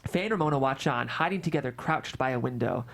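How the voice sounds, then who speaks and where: A woman's voice: rumbling low, animated, fast talker, gravelly. A woman reading screenplay stage directions and scene headings as narrator at a live table read.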